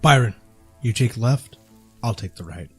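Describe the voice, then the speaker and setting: A man playing a stern fighter who leads a small squad in an audio drama, terse and husky. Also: mid-range voice, expressive, unhurried, faint music behind. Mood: commanding. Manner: terse, authoritative.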